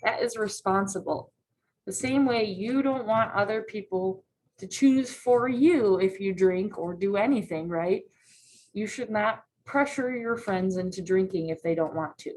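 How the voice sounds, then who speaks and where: A woman's voice: mid-range voice, unhurried, a little mellow, slight background noise, low-quality recording. Patient woman teacher asking questions. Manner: patient, checks in with frequent "right?" questions. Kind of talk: classroom lesson read-aloud.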